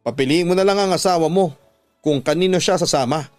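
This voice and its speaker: Brazen mistress for radio drama, voiced by a man: hard-hearted, taunting, unapologetic, raised pitch, music underneath. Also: a little fast, darkish tone, no room sound, lightly acted. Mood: demanding.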